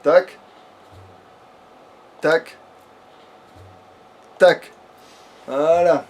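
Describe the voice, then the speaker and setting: A man's voice: a little high, steady tone, extremely slow, a little mellow. A man chatting casually as he plays a video game, joking in loose, slangy language with swearing. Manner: swears freely, slangy, jokey. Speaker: let's play gamer. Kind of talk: casual chat while playing a video game. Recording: background noise.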